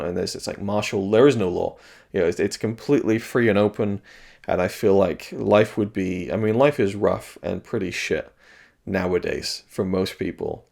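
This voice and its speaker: A slightly hoarse man reviewing video games in a casual, rambling solo talk, thoughtful and full of restarts. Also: fairly low pitch, darkish tone.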